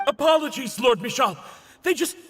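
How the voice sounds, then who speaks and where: A panicked underling soldier in a video game. His voice is strained very high and sharp, and he speaks deferentially to his lord. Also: animated, normal speed. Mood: panicked, apologetic. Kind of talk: dramatic video game acting.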